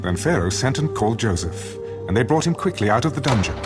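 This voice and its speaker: A man's voice: mid-range voice, big pitch swings, fast talker. A man narrating scripture as scripted audio drama, with solemn, dramatic storytelling. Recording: studio narration with music underneath.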